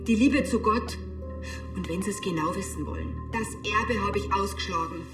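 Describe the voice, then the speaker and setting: An actress playing a stern, pious and easily indignant parish housekeeper with a strict, proper manner. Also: female voice, mid-range voice, moderate pace, roomy sound, music in the background, lightly acted.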